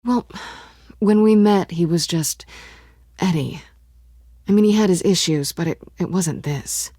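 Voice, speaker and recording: Sardonic female thief, video game dialogue, slightly low pitch, breathy husky voice, flirtatious, understated, dry studio sound. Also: unhurried, dark tone, no echo. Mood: guarded, matter-of-fact.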